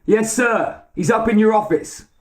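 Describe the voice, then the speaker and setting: A man's voice: high-pitched, a little slow, roomy sound, voice pushed up. A man voicing a polite, deferential male lab worker in a protective suit.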